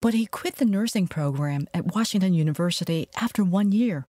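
Mellow-voiced female documentary narrator. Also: lowish voice, lively intonation, brisk, no room sound.

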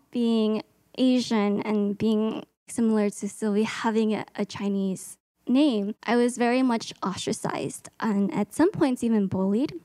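A woman's voice: on the high side, a little mellow, no room sound, clean studio sound. A young woman from Hong Kong, a student, telling her personal story in an earnest, reflective way. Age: young adult. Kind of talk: personal testimony.

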